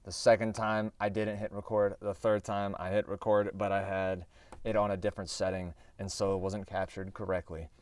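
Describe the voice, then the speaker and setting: A male host teaching Bible prophecy in a casual, rambling way. He corrects himself as he goes, and his voice is slightly hoarse. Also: fairly low pitch, level tone, normal speed, darkish tone, dry recording, recorded from a distance. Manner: chatty and rambling, with self-corrections and asides.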